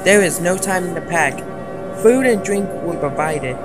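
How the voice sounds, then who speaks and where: A man's voice: sits high, expressive, moderate pace, over loud music. Robotic male emergency alert announcer. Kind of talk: formal scripted emergency broadcast announcement.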